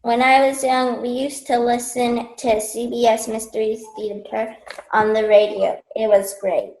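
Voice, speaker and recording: Echoey, fairly high-voiced nostalgic parent played by a woman. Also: little pitch movement, a little slow, darkish tone. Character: nostalgic, good-natured parent of two children. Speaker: student radio play performer. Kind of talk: amateur radio play acting. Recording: echoey room.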